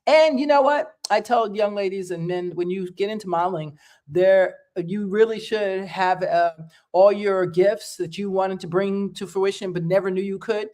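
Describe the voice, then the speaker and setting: A woman hosting a casual interview, animated and quick to laugh, encouraging her guest. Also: medium pitch. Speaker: host.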